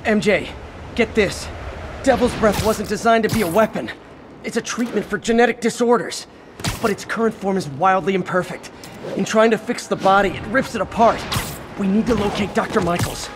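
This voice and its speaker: A man's voice: sits high, normal speed, noisy background, natural voice. An actor playing a quippy, earnest young male superhero who talks to himself in wry asides. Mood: urgent.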